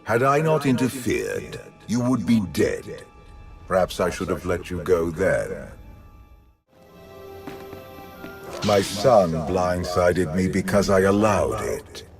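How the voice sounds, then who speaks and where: An ancient, imperious game villain, a man with an extremely deep, gravelly voice. He speaks with slow, deliberate menace and lofty contempt, like a ruler lecturing a disappointing subject. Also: sing-song, over music, full character voice. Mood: disappointed, scornful. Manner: slow, deliberate, pompous, condescending. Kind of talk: theatrical video game villain acting.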